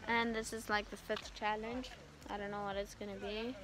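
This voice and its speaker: A woman's voice: medium pitch, little pitch movement, a crowd in the background, low-quality recording. A young woman from South Africa, vlogging on a phone held at arm's length, bubbly and teasing as she chats with friends. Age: young adult. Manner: bubbly and teasing, quick to laugh. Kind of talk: casual vlog chat. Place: roadside outdoors and inside a car.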